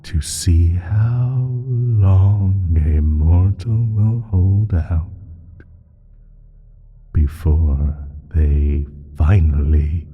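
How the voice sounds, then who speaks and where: A man playing an ancient, evil primordial creature in an audio roleplay, smug and menacing, speaking slowly in a breathy, husky voice that slips into whispers. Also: low-pitched, phone-quality sound, faint music behind, heavily acted. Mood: playful menace. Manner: slow, deliberate, menacing, drawn-out phrasing. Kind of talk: dramatic audio roleplay monologue.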